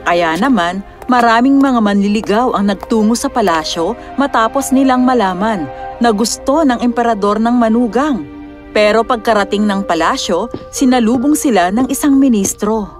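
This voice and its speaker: Woman reading a fairy tale, high-ish voice, music clearly behind her. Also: moderate pace. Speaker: narrator. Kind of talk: scripted storybook narration.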